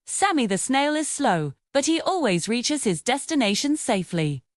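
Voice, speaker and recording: A woman voicing a cheerful, wise female parrot who gives gentle, patient guidance in a children's storybook. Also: high voice, sing-song, normal speed, dry recording, clean studio sound. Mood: gently wise.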